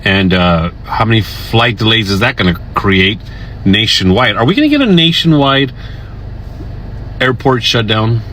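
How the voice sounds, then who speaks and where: A man's voice: lowish voice, big pitch swings, measured pace, fairly bright tone, a little hiss or hum. A man talking casually to viewers in a blunt, fed-up way. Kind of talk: casual social media rant.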